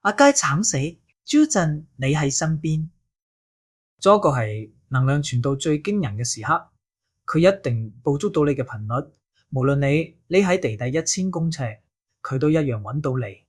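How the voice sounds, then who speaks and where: A man's voice: on the high side, lively intonation, moderate pace, husky. A man acting a zealous scientist expounding theories in an audio drama. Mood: ominous.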